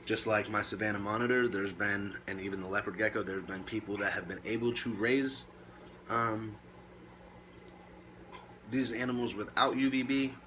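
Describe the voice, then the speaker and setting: A man presenting reptile care tips in a casual, laid-back way with short emphatic phrases, now and then coughing or clearing his throat. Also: on the low side, bright, forceful tone, slight background noise, rough recording. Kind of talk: casual informal talk to camera.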